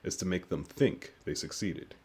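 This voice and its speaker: An actor playing a cold, controlling leader of a covert team, formal and measured, in an audio drama. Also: male voice, low-pitched, breathy edge. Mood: cold, controlled.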